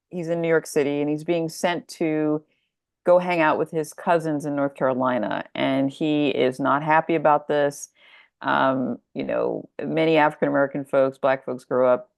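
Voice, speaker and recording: A woman speaking as a guest author in a casual, reflective interview, haltingly thinking aloud and repeating words. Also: deep voice, creaky, no room sound, studio quality.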